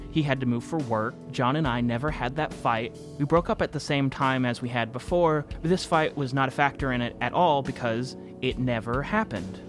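Male actor as anxious male narrator, audio fiction monologue, mid-range voice, reflective, deliberate, faint music. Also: mid-range voice, lightly acted. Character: anxious male narrator confessing a reality-bending game.

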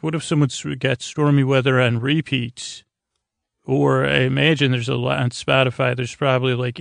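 Male sleep-podcast host, creaky voice, close dry sound, wandering and hesitant delivery full of false starts. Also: medium pitch, dry recording. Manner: meandering, tangent-prone, halting and stammering; languid, drawn-out delivery meant to lull. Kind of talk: rambling bedtime podcast monologue.

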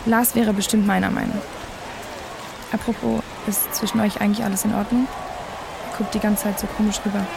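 Casting: actress as impulsive, provocative young woman protester, fry-laden voice, naturalistic radio play, clear outdoor traffic noise. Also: mid-range voice, level tone, fairly quick, long pauses, natural voice. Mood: curious, teasing. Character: angry young radical female climate activist, impulsive and defiant. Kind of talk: naturalistic audio drama dialogue.

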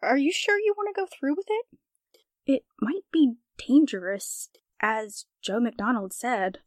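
Female studio secretary for radio play actress: fairly high voice, dry close sound, polite, efficient, caring. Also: a little slow, no room sound. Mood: concerned. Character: dutiful, concerned female radio station assistant. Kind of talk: 1940s radio drama acting.

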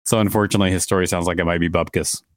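A man's voice: low-pitched, fairly even intonation, rapid-fire, no echo, clean studio sound. A man co-hosting a podcast, reading an encyclopedia article aloud and adding casual commentary. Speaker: podcast co-host.

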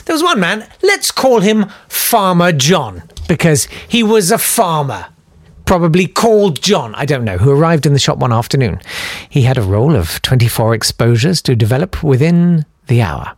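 A man's voice: a little high, sing-song, moderate pace, no room sound. A man hosting a podcast, reading out listener emails in a rambling, joking way with lots of fillers. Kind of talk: casual chat.

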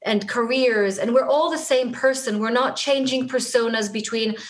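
A woman's voice: fairly high pitch, normal speed. A middle-aged woman from Israel with an Israeli accent, a native Hebrew speaker, talking earnestly and reflectively as an interview guest, often restarting her sentences. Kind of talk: conversational interview.

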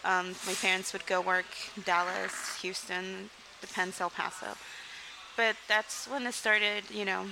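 Young woman from Mexico, interview guest, open and rambling, steady mid-range pitch, noisy recording. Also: a little slow, gravelly. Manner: candid, rambling, frequent "like" and "um", laughs between sentences. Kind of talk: casual interview storytelling.

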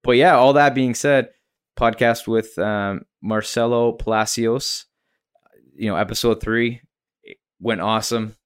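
A man's voice: medium pitch, fairly even intonation, measured pace, smooth, no room sound, clean studio sound. Laid-back man hosting a podcast. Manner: laid-back, short friendly prompts. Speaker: podcast host. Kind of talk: casual chat. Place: his own small podcast studio.

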